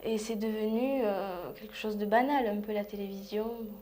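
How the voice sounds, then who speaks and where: A woman in her thirties giving a relaxed, matter-of-fact interview answer. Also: medium pitch, moderate pace, slight background noise. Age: 30s.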